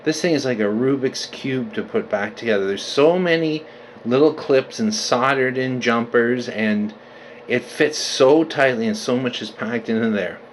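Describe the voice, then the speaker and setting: A man's voice: medium pitch, normal speed. Man casually demonstrating, some background noise. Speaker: vintage radio collector presenting his restoration. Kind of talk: casual unscripted video demonstration.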